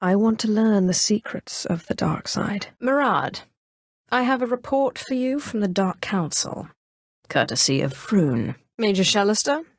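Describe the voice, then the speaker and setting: A young Sith sorceress from a video game, once a slave and now imperious. She speaks in a mid-pitched female voice with cool, dry mockery and haughty composure. The sound is dry, with no room to it. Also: big pitch swings, a little slow, dry recording. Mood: smug, cutting, then formal, composed. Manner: cool, imperious, dryly mocking delivery. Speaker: video game player character. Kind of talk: scripted video game dialogue.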